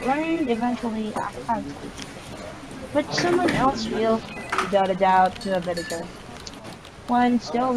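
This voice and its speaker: A woman gamer reading a visual novel's text aloud with casual asides, in a halting way that often repeats words. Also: medium pitch, rough recording. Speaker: gameplay video maker. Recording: loud music behind the voice. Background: game soundtrack with piano.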